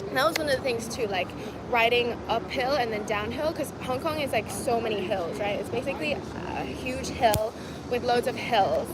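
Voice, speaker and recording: A woman's voice: on the high side, normal speed. A woman chatting casually on a livestream, reading viewer comments aloud and talking to her audience as "guys". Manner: chatty, laughs easily, talks to viewers as "guys". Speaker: vlogger reading live chat. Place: outdoors in a city at dusk. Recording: outdoor stream with clear traffic noise. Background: street and traffic noise.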